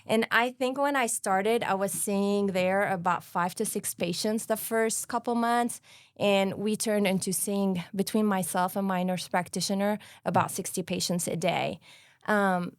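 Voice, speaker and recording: Lebanese woman doctor chatting fast and earnest, lots of ums, dry close sound. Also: medium pitch, steady tone, smooth, no echo. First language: Arabic. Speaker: guest, family doctor. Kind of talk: casual interview talk.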